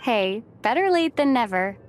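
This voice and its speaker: An actress voicing a kind, curious young female adventurer in a video game, with a gentle, unhurried delivery. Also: fairly high pitch, expressive, faint music behind, natural voice. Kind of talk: video game cutscene acting.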